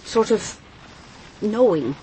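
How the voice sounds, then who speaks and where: Expressive, earnest policewoman. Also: mid-range voice, slow, noisy background. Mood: hesitant, thoughtful. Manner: hesitant, thoughtful, earnest. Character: earnest, perceptive policewoman, speaks up to superiors. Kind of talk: radio crime drama acting.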